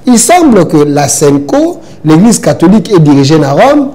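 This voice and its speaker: Congested, mellow-voiced host. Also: male voice, a little high, big pitch swings, moderate pace. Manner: probing, presses with follow-up questions. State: has a head cold. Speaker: talk show host. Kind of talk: interviewer questioning a guest.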